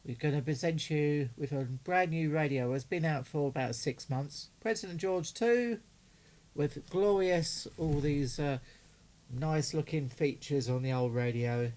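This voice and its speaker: A man's voice: medium pitch, a little mellow. An enthusiastic, chatty man, giving casual unboxing commentary as a hobbyist video host.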